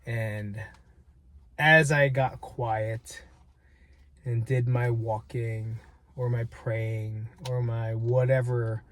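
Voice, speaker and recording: A man's voice: medium pitch, fairly even intonation, very slow, a bit bright. A man who works as a personal trainer, talking candidly and reflectively to his channel, rambling and self-deprecating with some swearing. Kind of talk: reflective casual monologue.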